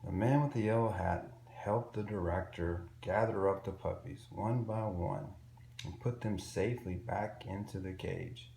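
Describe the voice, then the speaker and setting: Guy doing storytime for little kids, lowish creaky voice, expressive, bit of room echo. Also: unhurried. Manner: simple, expressive storytelling with asides and questions. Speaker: children's book read-aloud storyteller. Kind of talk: storybook narration for young children. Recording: roomy echo with faint background noise.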